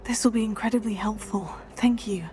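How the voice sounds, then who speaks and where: A woman's voice: mid-range voice, fairly even intonation, fairly quick, darkish tone, a little hiss or hum, natural voice. An actress playing a polite, self-assured teenage witch in a video game, speaking in a composed, understated manner. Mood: polite, reserved. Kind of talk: video game protagonist dialogue.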